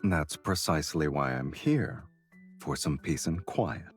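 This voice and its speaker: A man playing a composed, dryly teasing, quietly indulgent man in a game story. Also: rumbling low, measured pace, husky, full character voice. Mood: calm, indulgent. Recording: music behind.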